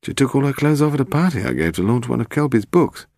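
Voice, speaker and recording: A chatty male publisher, acted out by a male narrator in an audiobook. He sounds a little flustered and hesitant, his voice raised and very animated. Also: medium pitch, fast, no room sound, studio quality, pitched above the performer's own voice.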